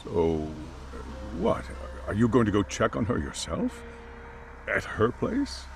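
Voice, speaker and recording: A man's voice: fairly low pitch, wildly swooping pitch, measured pace, vocal fry, slight background noise, full character voice. An actor playing a wary, proper chairman in an English game dub, hesitant, cautious and defensive. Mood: puzzled. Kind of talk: dramatic game cutscene dialogue.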